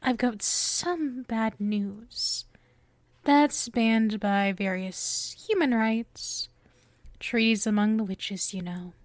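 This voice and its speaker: A sweet, possessive young witch played by a woman speaks in a high, unhurried voice, very close and dry. She is coy and teasing, doting on her listener in an intimate, theatrical ASMR roleplay style. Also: no room sound. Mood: playful, businesslike.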